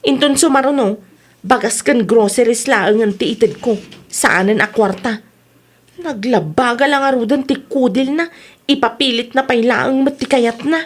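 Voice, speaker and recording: A woman's voice: fairly high pitch, normal speed, close to an everyday voice. A woman with an Ilocano accent playing a concerned, nagging grown daughter in a radio comedy drama. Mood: exasperated. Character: concerned, scolding grown daughter who supports her parents.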